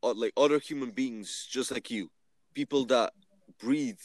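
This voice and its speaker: Earnest man, quick. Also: medium pitch, no room sound. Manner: earnest, fast, leans on "like". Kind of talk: casual discussion.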